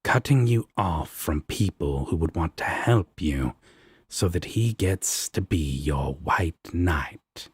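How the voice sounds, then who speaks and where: A man playing a sardonic, protective and affectionate devil roommate in a romantic audio roleplay, with a deep husky voice and blunt, coaxing talk. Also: lively intonation, slow pace, dry recording, exaggerated voice. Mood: sardonic, disgusted.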